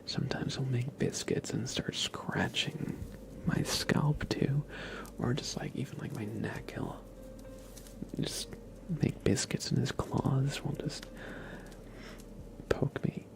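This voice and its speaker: A man hosting an ASMR livestream, chatting casually and gently to viewers in a soft breathy whisper, close to the microphone. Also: medium pitch, slow, long pauses. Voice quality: breathy whisper with heavy fry.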